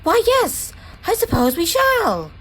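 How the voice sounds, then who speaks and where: A woman's voice: very high voice, wildly swooping pitch, slow, a bit bright, slight background noise, heavily acted, rough recording. A woman playing a friendly female switcher engine in an audio drama, coy and politely teasing. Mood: teasing, helpful.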